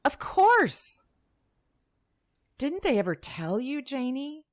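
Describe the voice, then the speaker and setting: A woman playing a chatty, matter-of-fact mother in expressive old-time radio drama style. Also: high-pitched, takes it slowly, exaggerated voice. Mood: surprised. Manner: expressive, exclamatory.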